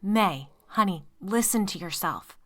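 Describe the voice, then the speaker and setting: A woman reading a controlling, manipulative husband in an audiobook, calm and coaxing, turning to snarls. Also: fairly low pitch, moderate pace, no echo. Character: controlling, manipulative husband, steady-voiced man.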